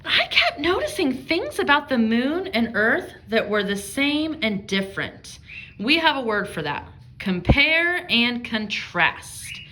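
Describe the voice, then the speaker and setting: A woman working as an elementary reading teacher, reading aloud and explaining to young children, thinking out loud and posing guiding questions. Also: on the high side, sing-song, takes it slowly, rough recording.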